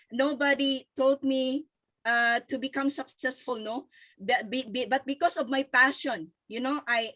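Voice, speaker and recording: A woman's voice: high voice, sharp tone, rough recording. A woman from the Philippines with a Filipino accent, giving a fervent, emphatic and repetitive motivational testimonial. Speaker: network marketing leader and guest speaker.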